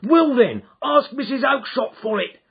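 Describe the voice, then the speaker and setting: A man doing a gravelly, bellowing surly salesman. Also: very high-pitched, expressive, unhurried, highs cut off, voice pushed up, heavily acted. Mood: furious. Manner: angry, bellowing. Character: surly shouting male market salesman.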